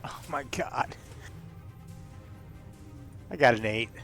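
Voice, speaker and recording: A man's voice: mid-range voice, animated, slow pace, vocal fry, fairly bright tone. A man chatting casually as a player at a tabletop roleplaying game, calling out his moves and rolls. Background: music.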